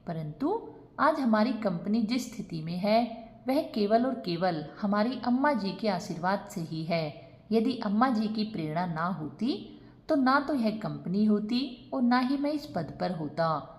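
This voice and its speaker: A woman's voice: mid-range voice, animated, unhurried, natural voice. A woman voicing a grateful company director, a devoted son giving a formal, reverent speech in a story.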